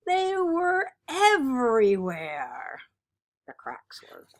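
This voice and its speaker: Woman playing a dutiful little good egg, picture-book narration, theatrical, very lively pitch, slow delivery. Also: full character voice. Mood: weary, fretful. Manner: expressive, deliberate, theatrical storytelling.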